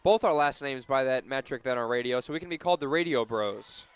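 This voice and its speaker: A man doing live play-by-play for a softball radio broadcast outdoors, punchy on big plays and conversational between them. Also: medium pitch, fairly quick, clear, resonant tone. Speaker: play-by-play sports announcer.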